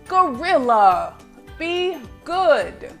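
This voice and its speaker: A woman's voice: high-pitched, sing-song, extremely slow, vocal fry, a bit bright, faint music behind, pitched above the performer's own voice, exaggerated voice. Woman as an exclaiming, pleading young storybook child. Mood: pleading scolding.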